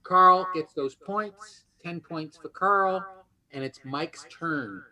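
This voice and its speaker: Bright, high-pitched man hosting a quiz. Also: slow, rough recording. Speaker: game show host.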